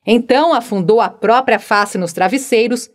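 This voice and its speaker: A woman narrating an audiobook in a measured, expressive storytelling manner. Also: on the high side.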